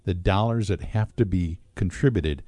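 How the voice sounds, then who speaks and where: A man co-hosting a retirement radio show, explaining pension plans and interest rates in a measured way with frequent fillers. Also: low voice, mellow, dry recording. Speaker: financial advisor co-host. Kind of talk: radio talk show discussion.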